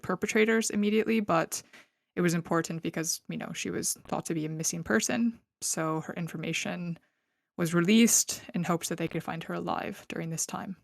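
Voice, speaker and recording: Young woman podcaster, casual rambly, lots of likes and laughs, fry in her voice, dry close mic. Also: fairly low pitch, dry recording. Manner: chatty, laughing, filler-heavy. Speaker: podcast host. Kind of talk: casual true crime storytelling.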